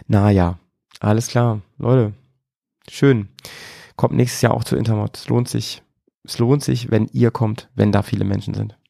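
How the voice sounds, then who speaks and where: A man wrapping up a casual vlog at a trade fair, relaxed and musing as he talks to his viewers. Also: fairly low pitch, unhurried, vocal fry, no echo, clean studio sound.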